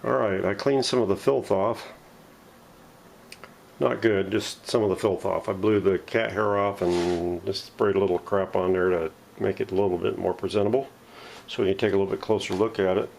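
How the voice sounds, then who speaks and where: A man's voice: sits low, steady tone, normal speed, gravelly, darkish tone, slight background noise. A man hosting a casual, unscripted workshop show-and-tell in a folksy, self-deprecating way, recorded indoors at home on a camera mic. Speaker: host of a vintage radio restoration channel.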